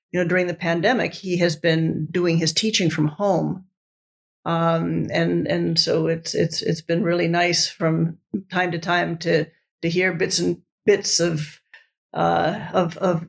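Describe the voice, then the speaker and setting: A woman whose first language is Italian, a professor speaking as a podcast guest, enthusiastic and halting with many restarts. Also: on the low side, vocal fry. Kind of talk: podcast interview.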